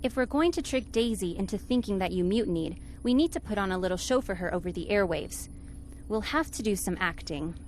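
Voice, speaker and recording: An actress playing a sensible, sardonic spaceship crew member with a dry, exasperated manner, in a 1950s-style space radio serial. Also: female voice, mid-range voice, lively intonation, a little fast, slight background noise, natural voice. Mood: practical, urgent.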